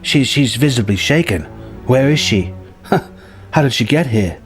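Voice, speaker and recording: A man telling a film story as a narrator, with a dramatic delivery and pauses for suspense. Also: mid-range voice, expressive. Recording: music bed under the voice. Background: music.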